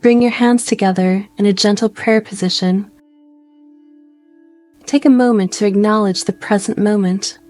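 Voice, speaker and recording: A woman guiding a meditation in a gentle, soothing way, speaking in slow phrases with pauses. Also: medium pitch, steady tone, faint music behind. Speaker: meditation guide. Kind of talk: guided meditation narration.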